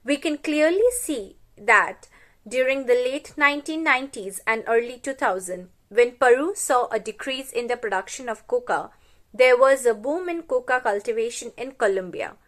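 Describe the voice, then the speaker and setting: A woman's voice: on the high side, lively intonation, normal speed. A woman in her twenties from India, earnestly presenting a scripted lesson. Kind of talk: scripted educational narration.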